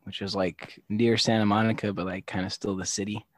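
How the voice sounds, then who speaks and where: Brief: young male co-host, lowish easygoing voice, rambling casual storyteller, dry close recording. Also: level tone, fairly quick, dry recording. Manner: laid-back, many "like" fillers, low-key delivery. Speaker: podcast co-host.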